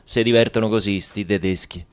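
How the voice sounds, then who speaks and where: An actor playing an anxious, sardonic deported forced labourer with a Roman accent, in a radio drama. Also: male voice, on the low side, fairly even intonation, a little fast, soft background music, natural voice. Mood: bitter irony.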